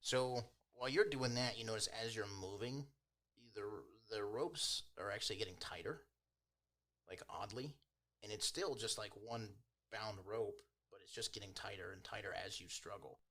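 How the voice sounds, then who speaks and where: A man's voice: lowish voice, normal speed, fairly bright tone. A man working as game master, improvising scene narration in an informal, descriptive way.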